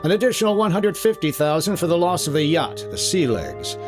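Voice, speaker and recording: Man as wry American insurance sleuth, slightly high voice, clipped, old-time radio drama style, music behind. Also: expressive, a little fast, natural voice. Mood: dry, matter-of-fact. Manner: clipped, dry, hard-boiled. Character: brisk, wry male freelance insurance investigator. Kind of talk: 1950s detective radio drama acting, first-person case narration.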